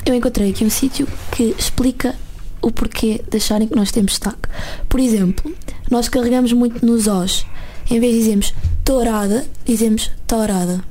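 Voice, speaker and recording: Uma mulher de Lisboa com sotaque lisboeta, a explicar com algumas hesitações numa conversa descontraída. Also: female voice, a little high, fairly even intonation, normal speed, hardly any pauses, mellow. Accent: Lisbon Portuguese. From Lisbon, Portugal. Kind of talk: casual chat.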